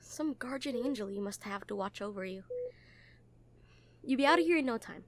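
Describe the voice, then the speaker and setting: A caring, gently teasing nurse acted by a woman in an intimate audio drama. The voice sits high. The delivery is conversational and a little hesitant, always earnest and reassuring toward the patient. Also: animated, normal speed, lightly acted. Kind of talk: roleplay audio acting, soft comfort talk.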